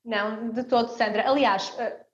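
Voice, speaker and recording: A woman's voice: on the high side, fairly even intonation, normal speed, muffled recording. A young woman from Ukraine, talking reflectively as an interview guest. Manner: reflective, speaks in short clauses with frequent "não é?". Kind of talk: casual interview conversation.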